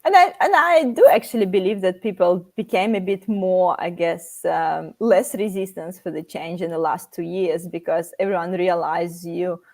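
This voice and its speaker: A woman's voice: fairly low pitch, normal speed, hardly any pauses, darkish tone. A woman hosting a live interview over a video call, sharing her thoughts in a reflective, hedging way. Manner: reflective, hedges with "I guess". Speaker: host of live interview show. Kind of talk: conversational interview.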